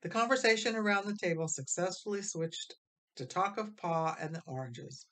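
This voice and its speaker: A woman reading a children's story aloud as expressive scripted narration. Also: fairly low pitch, moderate pace. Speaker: storybook reader.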